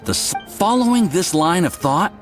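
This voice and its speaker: A man's voice: sits high, animated, normal speed, faint music behind. An actor playing an eager, nervous young male research assistant in an anime video game, bookish, hesitant and deferential. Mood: enthusiastic.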